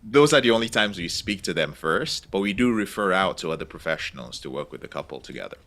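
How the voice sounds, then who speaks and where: A man who is a podcast guest, talking in an animated, casual way and acting out the voices he quotes. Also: low voice, fast talker. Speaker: podcast guest, recovery program founder.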